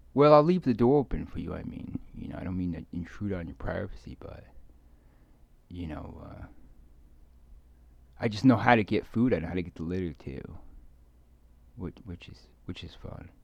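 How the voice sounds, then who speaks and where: A man giving a rambling, casual monologue to viewers, halting and repeating his words as he trails off. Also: deep voice, animated, vocal fry, a little mellow, slightly rough, no echo, dull audio. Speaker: video creator speaking to viewers.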